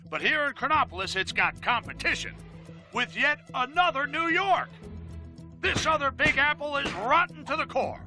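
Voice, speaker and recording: An actor playing a brash, fretful comic narrator in video game cutscenes, punchy and blustering. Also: male voice, very high-pitched, pushed and bright, full character voice.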